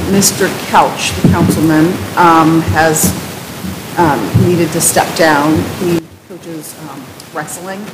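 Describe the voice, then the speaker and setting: A woman chairing a community board meeting, casual and upbeat with many fillers, speaking into a table mic. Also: fairly low pitch. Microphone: table microphone. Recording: echoey room with noise.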